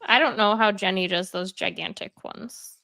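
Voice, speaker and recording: A woman's voice: medium pitch, normal speed, vocal fry, sharp tone. A woman hosting a casual chat about hobbies. She talks in a rambling way, with many fillers, and sounds a bit congested. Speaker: host. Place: at home, sitting in bed.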